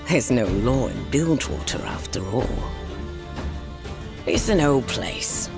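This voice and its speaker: An actor playing a knowing storyteller, giving scripted dramatic narration to open an audio drama. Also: male voice, lowish voice. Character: knowing storyteller introducing a lawless port city. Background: prominent music.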